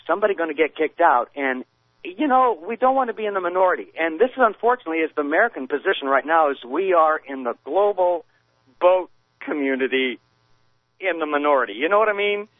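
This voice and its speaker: Male radio caller, rambling and argumentative, animated higher voice, heard through a phone line. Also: a little fast, no room sound. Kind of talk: phone-in conversation.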